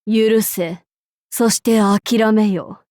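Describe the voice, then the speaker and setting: 尊大な魔王の女武将、ゆったりした語り. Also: female voice, mid-range voice, fairly even intonation, very slow, mellow, no room sound, studio quality. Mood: composed, lordly. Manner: pompous, archaic lordly speech. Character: imperious demon-king female warlord, archaic, haughty, amused by herself. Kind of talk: Japanese mobile game character voice lines.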